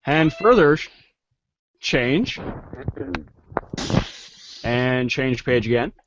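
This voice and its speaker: Slow, animated man tossing in asides. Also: mid-range voice, highs cut off, noisy background. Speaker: page-turn caller and commenter in a reader's theater show. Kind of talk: casual interjections during a script reading.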